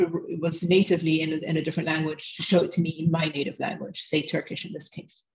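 Woman lecturer on a video call, fast, self-correcting delivery, slightly dull remote audio. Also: on the low side. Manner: quick, with frequent restarts and self-corrections. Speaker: invited seminar speaker. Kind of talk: academic lecture over slides. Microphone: video call microphone.

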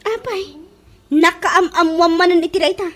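A woman's voice: extremely high pitch, fairly even intonation, normal speed, fairly bright tone. A woman playing a nagging, suspicious middle-aged village wife in an Ilocano comedy radio drama, whiny and scolding with a Filipino Ilocano accent. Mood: exasperated.

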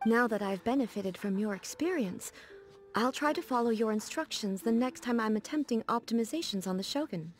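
Woman doing a calm regal swordswoman for a video game, kinda high voice, very formal and measured, music behind. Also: close to an everyday voice. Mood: earnest, thoughtful. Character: serene, dignified female ruler, a warrior devoted to eternity. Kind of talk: scripted video game character dialogue.

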